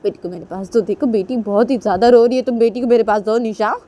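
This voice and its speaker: A woman voicing an exasperated, fretful woman who nags and hushes, repeating questions and pleas. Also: fairly high pitch, fairly even intonation, quick pace, voice pushed up, lightly acted. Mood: agitated pleading. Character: exasperated woman scolding and hushing her crying companion.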